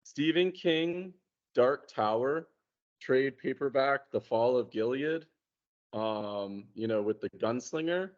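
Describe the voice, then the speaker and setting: A man in casual conversation, talking in a hesitant, halting way and often repeating words. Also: medium pitch, animated. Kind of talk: casual chat. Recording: muffled, dull lo-fi sound.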